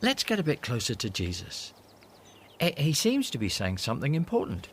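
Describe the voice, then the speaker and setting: A sardonic money-minded disciple. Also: male voice, mid-range voice, huge pitch swings, normal speed, slight background noise. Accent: Northern Irish. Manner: teasing, sardonic. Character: Judas, scheming money-minded male disciple. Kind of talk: radio drama acting.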